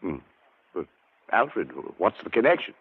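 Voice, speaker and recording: British scholar, deep-toned, precise, sceptical, old radio drama, phone-like narrow sound. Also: male voice, very animated, husky, heavily acted. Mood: dismissive. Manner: measured, formal, precise. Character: sceptical, educated British male scientist, calmly scholarly. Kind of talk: 1940s radio acting, first-person narration and dialogue. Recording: muffled, tinny old radio recording.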